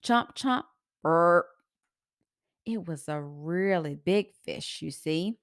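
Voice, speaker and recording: A woman reading a children's story aloud in an expressive, sing-song rhyming delivery. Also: lowish voice, takes it slowly, no room sound, clean studio sound.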